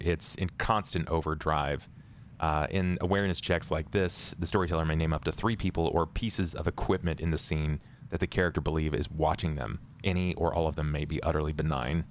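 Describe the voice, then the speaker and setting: A man talking casually as a player in a tabletop roleplay podcast, halting and repeating words. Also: a bass voice, fairly even intonation, slight background noise. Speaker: player in an actual-play podcast.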